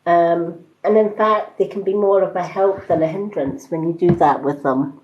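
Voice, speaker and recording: A woman chatting casually to live-stream viewers as a psychic card reader, with a calm, soothing delivery. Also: fairly low pitch, normal speed, slight background noise. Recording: roomy, slightly dull livestream audio.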